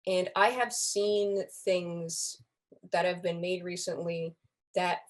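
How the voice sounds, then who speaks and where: A woman talking casually as a guest in a relaxed group conversation. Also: lowish voice, slow. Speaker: podcast guest, fan community member.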